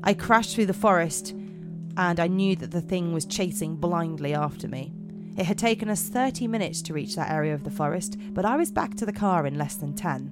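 A woman's voice: on the low side, brisk, over music, lightly acted. A woman putting on an American accent to narrate a frightened first-person horror story. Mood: tense, eerie. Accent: attempted American. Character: narrator of a creepy campfire forest monster story, frightened young American.

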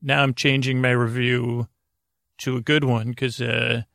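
A man with a creaky voice hosting a sleep podcast, rambling in a halting, meandering, self-deprecating way and drifting into tangents. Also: medium pitch, little pitch movement, fairly bright tone, dry recording, clean studio sound. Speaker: podcast host.

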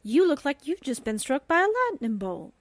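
A woman's voice: high voice, sing-song, normal speed, dry recording. A woman playing a perky, naive, folksy newspaperwoman sidekick in 1940s radio drama style, guileless and exclaiming. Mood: puzzled, wondering.

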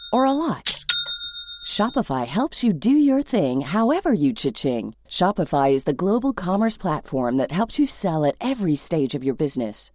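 A woman's voice: medium pitch, warm tone, no echo, clean studio sound. A woman narrating a scripted commercial as an advertising voice-over.

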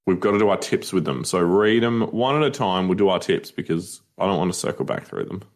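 Deep-voiced Australian man, upbeat chat. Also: animated, fairly quick. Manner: enthusiastic, teasing. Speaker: podcast co-host. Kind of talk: casual sports chat.

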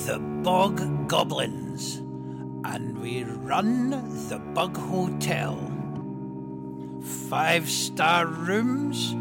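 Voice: mid-range voice, vocal fry, full character voice. A performer voicing a grubby goblin innkeeper, proud of a filthy hotel, in a children's story song. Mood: sly, proud. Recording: loud music under the voice.

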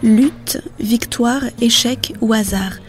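A woman reading a literary passage aloud, slow and deliberate. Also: fairly high pitch, music in the background. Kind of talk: literary reading over music.

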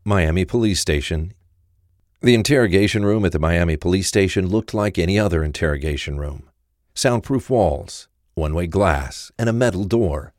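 A man's voice: low voice, moderate pace, a little mellow, dry recording, clean studio sound. A man reading scripted narration for a crime thriller story.

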